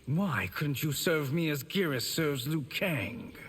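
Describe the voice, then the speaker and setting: An actor playing a smug, scheming sorcerer villain in a video game, measured and mocking. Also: male voice, fairly high pitch, lively intonation, noisy background. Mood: smug, amused.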